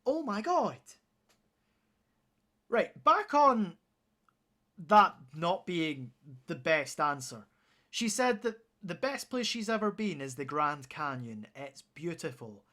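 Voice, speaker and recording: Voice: mid-range voice, very animated, slow, pushed and bright. A streamer giving sarcastic, sweary reactions while playing a video game.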